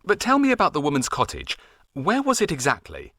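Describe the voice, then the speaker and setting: A man's voice: a little high, huge pitch swings, a little fast, a bit bright, no echo, clean studio sound, pitched above the performer's own voice, heavily acted. A man voicing a polite, curious visitor who asks questions plainly.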